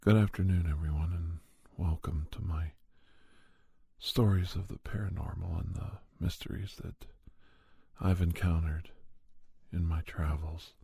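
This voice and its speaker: A man playing a grave paranormal investigator telling his tales in ASMR style, husky and near-whispering, with deliberate, halting phrases. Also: low voice, fairly even intonation, mellow, no echo, heavily acted. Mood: measured. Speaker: storyteller, podcast character host. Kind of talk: ASMR scripted horror storytelling.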